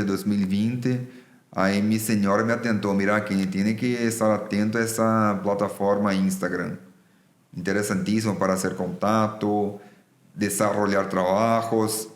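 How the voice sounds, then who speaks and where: Male guest, Brazilian accent, Portuguese native, lowish voice, explains earnestly in conversation, roomy sound. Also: level tone, a little slow. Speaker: business executive, podcast guest. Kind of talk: conversational interview. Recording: roomy echo.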